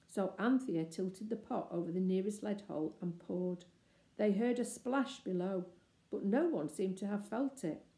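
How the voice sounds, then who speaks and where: A woman reading a children's novel aloud in expressive, scripted narration. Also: medium pitch, moderate pace. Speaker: storybook reader. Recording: echoey, roomy sound.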